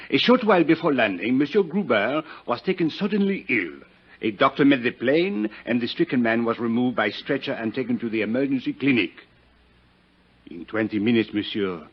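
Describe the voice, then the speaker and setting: An actor playing a suave, talkative French-accented police inspector in a 1950s radio drama, effusive and courtly. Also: male voice, mid-range voice, husky. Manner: effusive, courtly, repeats words for emphasis. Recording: muffled, lo-fi vintage radio broadcast.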